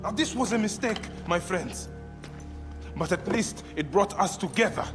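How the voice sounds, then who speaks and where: An Egyptian warrior father in a game drama. He is earnest and declamatory, with very lively intonation, and music swells behind him. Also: high voice, normal speed. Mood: solemn, rousing. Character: passionate grieving Egyptian warrior father, solemn brotherhood leader. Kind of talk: dramatic video game acting. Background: background music.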